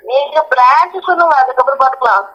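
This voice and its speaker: Brief: high-voiced phone call recipient, argumentative and questioning, muffled lo-fi line. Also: fast talker, sharp tone. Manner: protesting, questioning, repetitive.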